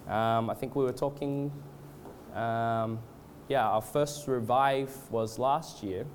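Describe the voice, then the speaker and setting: A man's voice: mid-range voice, fairly even intonation, slight background noise. Hesitant male preacher with a cold. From born in Australia, moved to Canada. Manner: hesitant, casual, halting. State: has a cold. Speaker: guest preacher at a church revival gathering. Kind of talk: informal sermon with congregational prayer.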